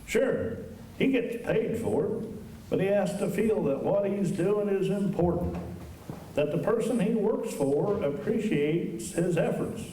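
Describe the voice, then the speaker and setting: A man's voice: sits high, animated, a little slow, warm tone. A man acting as a blunt, folksy old-West town doctor who lectures his friend in a Western radio drama. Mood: earnest lecturing. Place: high school auditorium stage. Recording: roomy auditorium, distant mics, faint noise.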